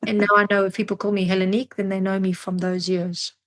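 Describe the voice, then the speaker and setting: A woman, who grew up in Africa, talks as a podcast guest and tells stories in a thoughtful way, often pausing to find her words. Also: mid-range voice, flat delivery. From Zimbabwe, grew up in South Africa. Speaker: podcast guest, psychologist and drummer. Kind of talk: reflective interview storytelling.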